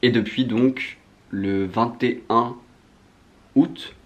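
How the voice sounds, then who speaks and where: A man's voice: medium pitch, fairly even intonation, extremely slow, muffled recording. A young man with a French accent from France, chatting candidly to viewers in a slangy, self-mocking, confessional vlog style. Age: young adult. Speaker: vlogger.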